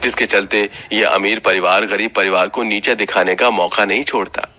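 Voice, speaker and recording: A man's voice: on the low side, fairly even intonation, moderate pace, sharp tone. A man narrating a scripted children's story as the storyteller.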